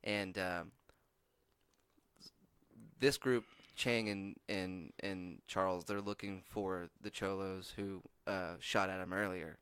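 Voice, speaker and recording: A man's voice: low voice, steady tone, slow, a bit bright, clear, resonant tone, no room sound. A man chatting casually as a podcast co-host, rambling and restarting, with a lot of swearing. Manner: rambling storyteller, frequent restarts and profanity. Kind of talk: casual chat.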